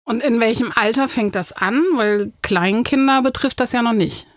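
Clear-spoken woman talk show host. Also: a little high, normal speed, no room sound, studio quality. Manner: clear, scripted delivery. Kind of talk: moderated interview.

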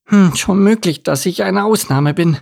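A man's voice: high voice, moderate pace, vocal fry, a little mellow, voice pushed up. A man voicing an anxious, overcautious young boy duckling in a storybook, earnest and brooding. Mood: worried. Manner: brooding, fretful. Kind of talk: storybook character voice.

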